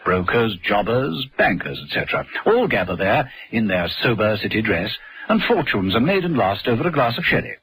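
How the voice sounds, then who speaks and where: A man's voice: fairly low pitch, sing-song, normal speed, a bit bright, slight background noise, poor audio. A man with a British accent reading scripted narration for a vintage radio drama. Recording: vintage radio broadcast, music behind.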